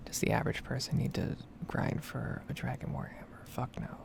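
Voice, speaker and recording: A woman speaking in a breathy whisper, rambling casually with some swearing in an ASMR gaming video. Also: extremely low pitch, little pitch movement, fairly quick.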